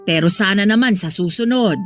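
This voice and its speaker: A woman's voice: medium pitch, brisk, soft background music, lightly acted. A woman with a Filipino accent acting a weary, pleading mother who placates and sighs in an audio drama. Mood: placating, weary. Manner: placating, exasperated, sighing. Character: weary, pleading mother of two grown daughters.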